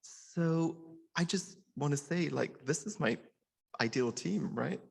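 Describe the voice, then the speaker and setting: A man's voice: on the high side, slow pace, vocal fry, breathy edge. A middle-aged man, a surgeon, giving a personal, informal conference speech in an earnest manner, sometimes stammering and repeating words.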